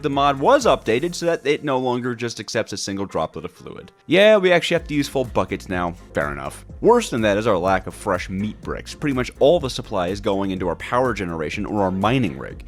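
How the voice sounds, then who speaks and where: A man narrating gameplay from a script in a theatrical, playful and wry manner. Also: mid-range voice. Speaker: gaming video host.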